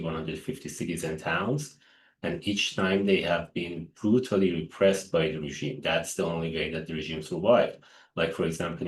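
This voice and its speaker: A man's voice: low-pitched, a little mellow, echoey room, poor audio. A man from Iran in his thirties, a guest analyst speaking earnestly in a press briefing, often restarting his sentences. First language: Persian.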